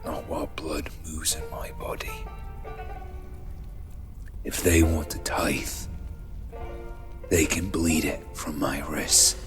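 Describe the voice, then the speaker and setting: A man's voice: rumbling low, little pitch movement, takes it slowly, long pauses, over music. An actor playing an embittered, hot-tempered human king in a fantasy audio drama, brooding and commanding. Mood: bitter, brooding. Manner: commanding, brooding, erupting into shouts. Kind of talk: full-cast fantasy audio drama acting.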